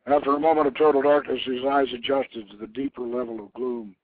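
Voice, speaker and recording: A man's voice: mid-range voice, fairly even intonation, a little fast, no echo. Dramatic male storyteller on a phone-like line. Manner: theatrical, solemn, dropping to hushed near-whispers and swelling for emphasis. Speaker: host reading his own novelette. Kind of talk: dramatic narrated storytelling, reading aloud. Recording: phone-like internet radio sound.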